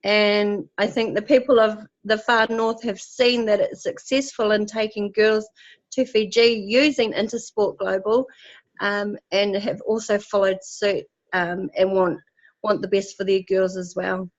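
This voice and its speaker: A woman from New Zealand's far north with a New Zealand accent, a netball team organiser answering interview questions in a relaxed, friendly way. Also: medium pitch, a little slow, highs cut off. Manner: relaxed, friendly, some ums.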